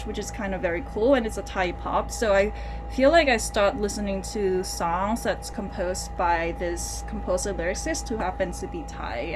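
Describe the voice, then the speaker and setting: A woman's voice: medium pitch, noisy background. A woman composer talking casually in an interview, rambling with many fillers, self-corrections and light laughs. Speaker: composer guest.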